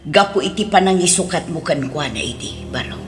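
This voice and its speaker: Ilocano radio drama mom, worried, very lively pitch, noisy audio. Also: female voice, a little fast. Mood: frank, discouraging. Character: concerned, expressive mother of a grown daughter.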